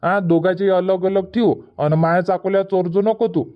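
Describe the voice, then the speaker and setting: Man acting a high-voiced stubborn village boy. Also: fairly even intonation, normal speed, natural voice. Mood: bargaining. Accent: Varhadi Marathi. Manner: whiny, argumentative. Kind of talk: cartoon comedy dialogue. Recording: dull, muffled lo-fi audio.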